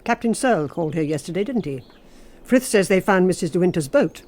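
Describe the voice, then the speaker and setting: An actress playing a stern, disapproving English housekeeper in a radio drama, formal, icy and measured. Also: female voice, fairly low pitch, lively intonation, darkish tone. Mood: probing, suspicious.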